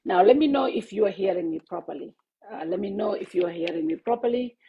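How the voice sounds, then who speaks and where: A woman with a low, husky voice hosts a live stream for her online network from her office, halting often with fillers and restarts. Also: animated, muffled recording, a crowd in the background. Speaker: host of an online news network. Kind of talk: casual live-stream address to followers. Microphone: Bluetooth headset.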